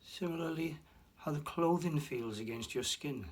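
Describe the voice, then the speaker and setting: A man guiding a relaxation meditation, speaking slowly and softly with long pauses and a breathy hush at times. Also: on the high side. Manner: slow, soft and soothing, with long pauses. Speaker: meditation guide. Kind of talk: guided meditation.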